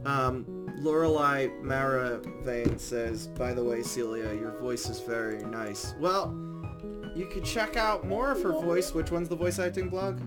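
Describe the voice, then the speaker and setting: A man hosting a stream, chatting casually with viewers in a halting, self-interrupting way. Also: mid-range voice, loud music behind the voice. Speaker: stream host.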